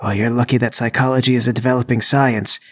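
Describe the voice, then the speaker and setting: A man voicing a nervous burglar in a tabletop game, halting and stammering as he bluffs and over-explains. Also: mid-range voice, level tone, close to an everyday voice. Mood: smug, menacing.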